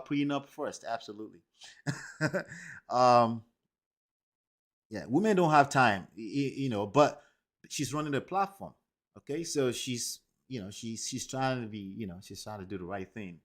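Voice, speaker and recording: Emphatic man hosting a livestream. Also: mid-range voice. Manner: emphatic, repeats "okay?" and "right?" to viewers. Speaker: livestream host. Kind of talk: casual commentary to a live chat.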